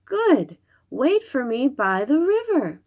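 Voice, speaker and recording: Woman as a high-pitched, bold little girl. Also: sing-song, takes it slowly, a little mellow, full character voice. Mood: confident. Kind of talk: children's storybook read-aloud.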